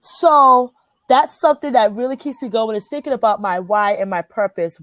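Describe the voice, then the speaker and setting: A woman with a Liberian accent and a somewhat high voice hosts a motivational group chat. She talks earnestly, stressing and repeating her key phrases. The sound is close and dry. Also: moderate pace, a bit bright, dry recording. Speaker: host.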